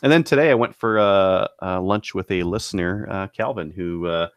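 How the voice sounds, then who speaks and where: A man with a deep voice talks casually as a podcast co-host, recorded close and dry. He speaks haltingly, stopping to gather his thoughts as he goes. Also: clear, resonant tone, no room sound. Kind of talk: casual hobby podcast chat.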